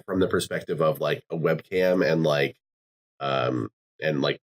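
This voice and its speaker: A man's voice: extremely low pitch, measured pace. A man hosting a casual podcast chat, rambling with lots of fillers and restarts. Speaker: podcast host.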